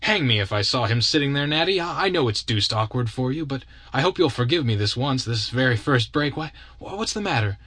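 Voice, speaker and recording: A bold young gentleman caller, brisk and defiant, his voice lifted above the reader's usual tone, as a male narrator plays him. He explains himself quickly and then entreats. The sound is dry and close. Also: mid-range voice, expressive, husky, dry recording, voice pushed up. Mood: defensive.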